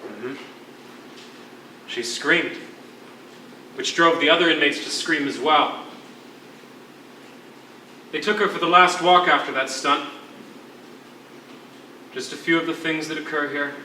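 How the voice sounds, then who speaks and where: A stage actor playing a world-weary, wry imprisoned writer with a wordy, formal, sardonic manner. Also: male voice, a little high, takes it slowly, pauses often, pushed and bright, exaggerated voice. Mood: grim storytelling. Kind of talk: theatrical stage acting. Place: on a stage in a hall. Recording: echoey theatre stage, distant mic, faint noise.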